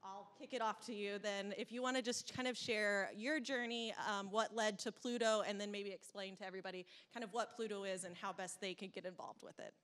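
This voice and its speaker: A young woman moderating a panel in a lecture room with a handheld mic, talking briskly and upbeat. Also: medium pitch, steady tone, a bit bright. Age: young adult. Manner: brisk, upbeat, lots of fillers. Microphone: handheld microphone.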